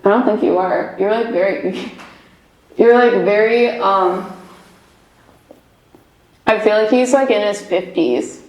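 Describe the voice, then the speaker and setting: A woman's voice: medium pitch, slow, long pauses, a little mellow. A young woman, a paranormal investigator, chatting casually and rambling with lots of fillers and giggles. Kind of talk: casual chat on a ghost hunt. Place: old basement room with echo. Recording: echoey basement room.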